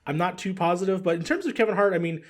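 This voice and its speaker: A man's voice: high-pitched, fast. A man chatting casually and giving his opinions in a rambling way as a podcast guest. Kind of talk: casual chat.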